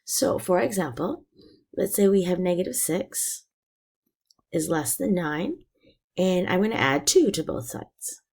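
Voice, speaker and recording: A woman teacher walks through a math lesson patiently, one step at a time. Her voice is low, raspy and gravelly from a cold. Her pitch still moves up and down a lot as she explains. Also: expressive, a little slow, darkish tone. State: hoarse from illness.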